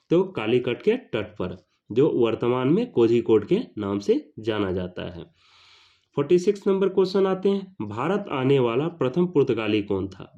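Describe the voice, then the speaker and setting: A man's voice: mid-range voice, warm tone. A man teaching an exam question-and-answer lesson, repeating questions and answers for emphasis. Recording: slightly dull lo-fi sound.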